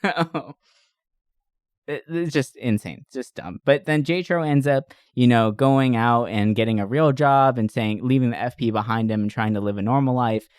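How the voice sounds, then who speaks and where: A young adult man hosting a podcast, recapping movies in a casual, comedic way with animated storytelling, swearing and slangy asides. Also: medium pitch, normal speed, smooth, no echo, clean studio sound. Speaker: podcast host.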